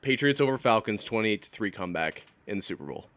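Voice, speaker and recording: A man's voice: on the low side, animated, a bit bright, no room sound. A young man from Oklahoma with an American accent, hosting a sports talk show with casual, joking, sweary banter. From Oklahoma, United States. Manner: joking, sweary, quick to agree.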